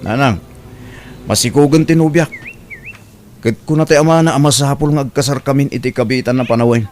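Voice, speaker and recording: A man's voice: medium pitch, fairly quick, a little mellow, soft background music, lightly acted. A man playing a brash young suitor in an audio drama, eager and evasive, drawling and calling out. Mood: eager, pleading.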